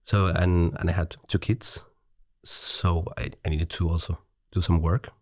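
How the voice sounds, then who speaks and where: A man's voice: sits low, level tone, unhurried, darkish tone, no echo, studio quality. A middle-aged man from Chile with a Chilean Spanish accent, chatting as a podcast guest, hesitant with many restarts and easy laughter. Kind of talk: casual conversation.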